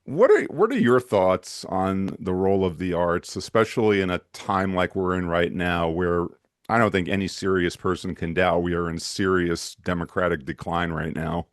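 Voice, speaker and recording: A man with a low voice hosts a conversational interview. He asks his questions warmly but haltingly, often restarting mid-phrase. The sound is dry and close, with little room in it. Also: dry recording. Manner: hesitant, friendly questioning with repeated restarts. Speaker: talk show host.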